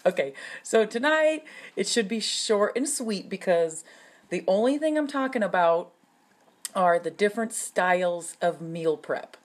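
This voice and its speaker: A woman in her thirties explaining meal prep in a casual teaching talk from her kitchen, often checking in with "okay?" and filling pauses with "um". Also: mid-range voice, animated. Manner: chatty, frequent "okay?" check-ins and "um" fillers. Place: home kitchen, indoors.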